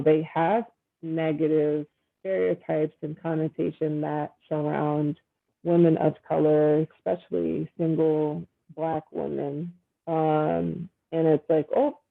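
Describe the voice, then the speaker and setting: A woman's voice: sits low, vocal fry. A woman talking in a casual video-call conversation, earnest and reflective, with many fillers. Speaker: dating guest. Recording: tinny phone-like line.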